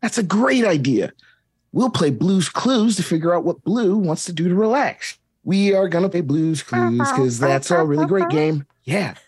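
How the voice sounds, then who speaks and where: A woman's voice: fairly low pitch, lively intonation, moderate pace, darkish tone, slightly rough, no room sound, clean studio sound. A woman reading the part of an upbeat children's show host in a comedic table read, with a chipper, sing-song delivery.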